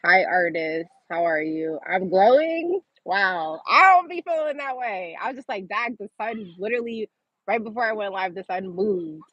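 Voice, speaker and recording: Woman, livestream host, from Massachusetts, informal chatty delivery, medium pitch, replying to comments, muffled lo-fi sound. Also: animated, normal speed, no room sound. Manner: informal, chatty, often laughing as she talks. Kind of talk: casual livestream chat reading and answering viewer comments.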